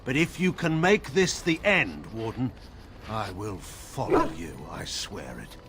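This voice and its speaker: A man playing a proud, weary, sardonic veteran warrior nobleman in a fantasy video game, speaking in a wry, grave and measured way with formal phrasing. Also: mid-range voice, huge pitch swings, heavy background noise. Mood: guarded, sardonic. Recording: studio voice with game music behind. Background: music.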